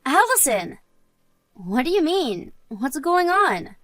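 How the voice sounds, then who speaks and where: A woman's voice: sits high, sing-song, slow, a bit bright, dry recording, full character voice. A woman playing an earnest married woman and loyal friend in a scripted story drama. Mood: bewildered, defensive.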